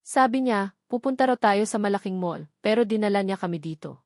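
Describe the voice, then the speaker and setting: A woman's voice: mid-range voice, expressive, brisk, darkish tone, no room sound, lightly acted. A frightened young Filipino boy in a drama audiobook performed by a woman. He sounds earnest and plaintive as he tattles. The recording is dry. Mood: upset.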